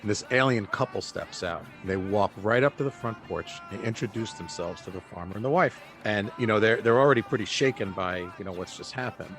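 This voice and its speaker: A man's voice: lowish voice, fairly quick, few pauses, fairly bright tone, soft background music, natural voice. A man playing a casual, jokey road-trip companion telling a story in a meandering way in a radio drama.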